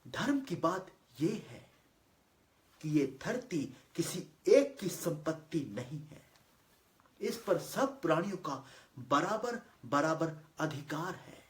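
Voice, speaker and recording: A man performing a theatrical monologue as a solemn god, declaiming deliberately with weighty pauses in formal speech. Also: on the high side, sing-song. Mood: solemn, firm. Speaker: monologue actor. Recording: roomy, echoing voice recording.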